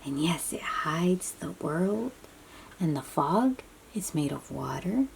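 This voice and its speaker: A woman with a soft, breathy voice reads a picture book aloud to young children, gently explaining and chatting to them as she goes. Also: low-pitched, lively intonation, a little hiss or hum, poor audio. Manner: slow, child-directed, encouraging questions and affirmations. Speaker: read-aloud storybook host.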